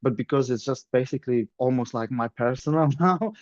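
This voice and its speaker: A man's voice: medium pitch, a little fast, no room sound. A man from Lithuania with a Lithuanian accent, telling his story in a chatty, plain-spoken webinar talk over a video call. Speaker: guest presenter, surveyor. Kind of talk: casual webinar presentation. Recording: dull lo-fi call audio.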